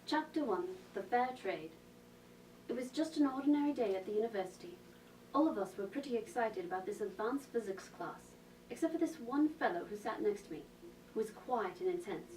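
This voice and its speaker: Roomy, distant radio play: polite young-sounding reader played by a woman, higher voice, earnest storytelling. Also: expressive, a little fast, darkish tone, natural voice. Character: polite, earnest female train passenger and reader. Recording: roomy, distant mic, faint noise.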